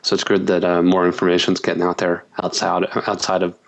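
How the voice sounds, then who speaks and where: Deep, flat-voiced man being interviewed. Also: gravelly, muffled recording. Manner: measured, thoughtful, with fillers. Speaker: guest journalist and author. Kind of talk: interview answers.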